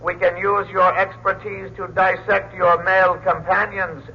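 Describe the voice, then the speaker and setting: A man's voice: sits high, flat delivery, unhurried, slight background noise. A man playing a cold, formal alien examiner in an old radio drama, speaking with clinical precision. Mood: clinical menace. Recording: muffled lo-fi old radio recording.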